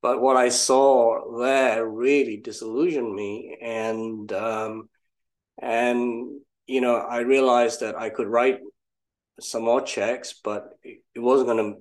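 A man's voice: mid-range voice, flat delivery, slow pace, poor audio. An older man from Malaysia, a guest entrepreneur telling his story in a casual interview, reflective and self-deprecating.

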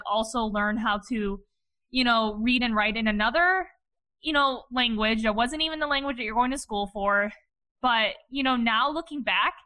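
A woman in her thirties from Ohio hosts her own podcast and tells her story in an upbeat, chatty monologue. Her voice sits high and sounds bright, and her talk is peppered with "you know" and "like.". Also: poor audio. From Cleveland, Ohio, USA. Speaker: podcast host.

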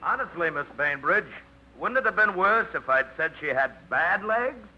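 An actor playing a brash, wisecracking trucking-company owner in a 1950s radio play, old dull broadcast sound with faint hiss. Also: male voice, high voice, animated, normal speed, bright, forceful tone, heavily acted. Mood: cocky. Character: brash cocky male trucking boss. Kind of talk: 1950s radio drama acting.

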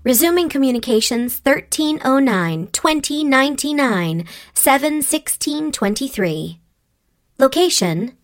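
A woman's voice: high-pitched, lively intonation, measured pace. A woman voicing a rigid, literal-minded computer program in an audio drama, with clipped, formal, robotic phrasing. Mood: formal, demanding. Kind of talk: scripted audio drama acting.

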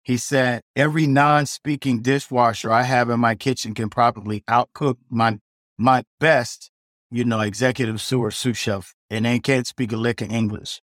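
A middle-aged chef tells his story in a loose, rambling way, full of restarts and "you know". He speaks at a middle pitch. The sound is dry, with no room around it. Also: steady tone, normal speed, fairly bright tone, no echo. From South Side of Chicago. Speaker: chef guest. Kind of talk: casual storytelling interview.

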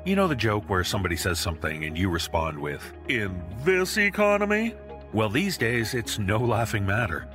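Deep, animated male ad reader over music. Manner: smooth, formal, fluent. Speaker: podcast ad reader. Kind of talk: smooth scripted ad read. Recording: background music bed under voice. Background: spooky atmospheric music.

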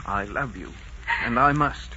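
A man's voice: mid-range voice, pushed and bright. A man playing a stern but loving middle-aged innkeeper father, earnest and sorrowful, in 1940s radio drama style. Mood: sorrowful resolve. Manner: earnest, paternal, measured. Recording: muffled, noisy 1940s radio recording.

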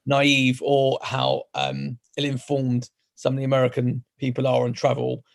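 A man's voice: medium pitch, moderate pace, gravelly. A man in his thirties with a London accent, chatting casually on a football podcast and rambling through his answers. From London, England. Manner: relaxed, rambling, hesitant restarts. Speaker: podcast co-host. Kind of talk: casual chat.

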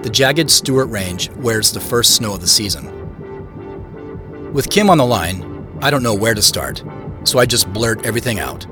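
Actor as low-voiced, reflective man narrating, over music. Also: close to an everyday voice. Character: reflective man narrating his own drive. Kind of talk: scripted first-person drama narration.

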